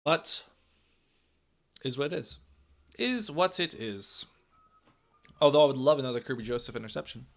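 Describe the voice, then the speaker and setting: A hoarse young man with a raspy, cracking voice, giving casual live play-by-play commentary and reacting to the game, swinging from loud calls to low muttering. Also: animated, moderate pace, pauses often.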